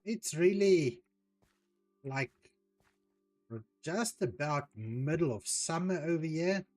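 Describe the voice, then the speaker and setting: Slow-talking Afrikaans-accented man. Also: a little high, expressive, darkish tone, soft background music. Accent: Afrikaans South African. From South Africa. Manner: unhurried, hesitant, with frequent ums while reading out chat. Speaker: streamer. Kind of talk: casual chat while playing a game.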